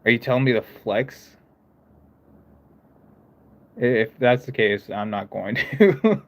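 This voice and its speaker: A man's voice: mid-range voice, slow, lo-fi sound. A young man streaming live who talks with a mixed American accent, part northern and part southern, chatting loosely with viewers and often stopping to restart his sentences. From United States. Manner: rambling, stops and restarts, talks to chat. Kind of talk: casual live-stream chat.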